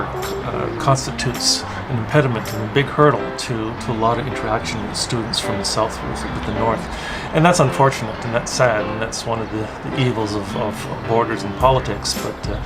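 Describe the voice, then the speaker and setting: Casting: Canadian man, Newfoundland accent, mid-pitch, thoughtful and deliberate, music bed. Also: low-quality recording. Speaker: interviewee, volunteer. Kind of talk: casual interview. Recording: loud music bed behind voice.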